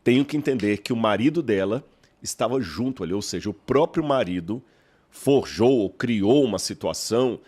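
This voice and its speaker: A man with a mineiro Brazilian accent and a fairly low voice lays out his points like a teacher. He speaks in measured, explanatory sentences, often posing a question and then answering it himself. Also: expressive, a little mellow, husky. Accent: Mineiro Brazilian Portuguese. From Minas Gerais, Brazil. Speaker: guest scholar. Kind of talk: explanatory podcast interview.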